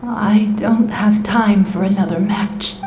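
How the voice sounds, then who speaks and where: A woman voicing a gentle, wise, dying sage in a video game, her voice weak, whispery and solemn, with halting phrases. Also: mid-range voice, steady tone, close to an everyday voice. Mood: frail, serene. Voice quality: breathy, whispery. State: weak, ailing. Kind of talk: video game character acting. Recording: roomy echo, faint background noise.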